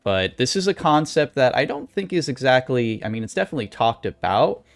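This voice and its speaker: A man's voice: medium pitch, sing-song, brisk. A man giving casual writing advice as an author, in a folksy, conversational way with emphasis on key points. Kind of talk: casual instructional vlog talk.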